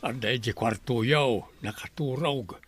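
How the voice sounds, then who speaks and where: An actor playing an older, reproachful husband in a radio drama, with an Ilocano Filipino accent. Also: male voice, medium pitch, measured pace, soft background music, close to an everyday voice. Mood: concerned. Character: older husband scolding his heartless wife, protective of child.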